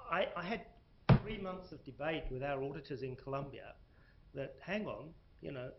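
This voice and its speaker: South african guy on a panel, emphatic, keeps restarting, bit muffled, faint hiss. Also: mid-range voice. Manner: emphatic, restarts, hesitant fillers. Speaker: panel speaker, corporate reporting. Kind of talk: panel discussion. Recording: muffled lo-fi recording.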